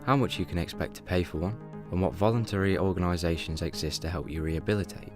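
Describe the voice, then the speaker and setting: A man narrating a radio play as a measured, dry, encyclopaedic talking book. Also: low voice, music in the background, close to an everyday voice. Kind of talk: scripted narration.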